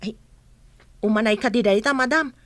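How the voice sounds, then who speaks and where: A woman's voice: on the high side, normal speed, lightly acted. A woman acting a loyal, protective household nanny in an Ilocano radio drama. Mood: firm pleading.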